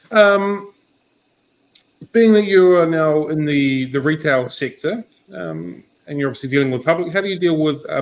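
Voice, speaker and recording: Host: man, croaky voice, easygoing, keeps saying cool. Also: mid-range voice. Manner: easygoing, says cool a lot, hedging questions. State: croaky voice this morning. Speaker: livestream host. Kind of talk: casual interview questions.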